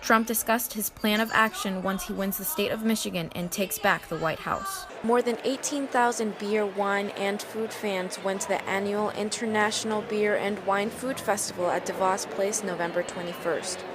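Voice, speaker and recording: A woman's voice: mid-range voice, steady tone, normal speed, few pauses. A young American woman reading scripted news reports as a TV reporter.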